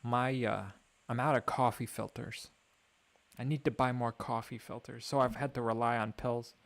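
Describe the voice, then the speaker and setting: A young man streaming alone, thinking out loud in a wry, mock-grand way. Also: mid-range voice, fairly even intonation, moderate pace, gravelly. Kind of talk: rambling livestream monologue.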